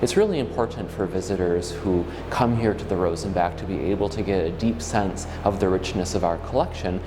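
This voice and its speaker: A man representing a museum, speaking in a formal, measured interview style. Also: low voice. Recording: echoey reverberant room, clear background noise.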